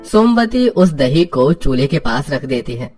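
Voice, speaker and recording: A man's voice: mid-range voice, sing-song, a little slow, darkish tone. Male storyteller with music behind. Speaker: narrator. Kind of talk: scripted story narration.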